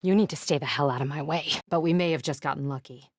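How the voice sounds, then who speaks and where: A woman's voice: low-pitched, fast, dry recording. A woman voicing a tough, no-nonsense female police captain in a video game, speaking in a terse, authoritative, dry manner. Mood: stern, controlled.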